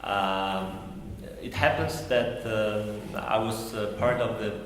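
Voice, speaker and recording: Slow, halting Polish-accented man in echoey hall. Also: lowish voice, steady tone. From Poland. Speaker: panelist, policy analyst. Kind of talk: panel discussion answer. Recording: very echoey hall, faint noise.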